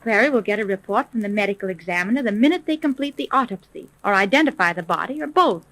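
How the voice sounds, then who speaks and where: A woman's voice: medium pitch, animated, fast, a bit bright. An actress playing a decisive woman private detective in a 1930s radio serial, with a dramatic, urgent manner. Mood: brisk, evasive. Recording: noisy 1930s radio recording.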